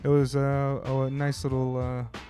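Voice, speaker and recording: A man's voice: mid-range voice, monotone, moderate pace, over music. A man hosting a casual conversation, talking in a loose, slangy way and swearing freely. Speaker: host. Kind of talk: casual chat.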